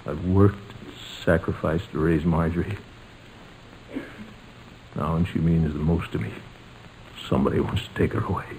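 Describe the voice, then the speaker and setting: An actor playing a pompous, jovial, sentimental middle-aged uncle in a 1940s radio comedy, with a theatrical delivery. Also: male voice, low-pitched, normal speed, pauses often, darkish tone. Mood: wistful, wounded. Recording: noisy old-time radio recording.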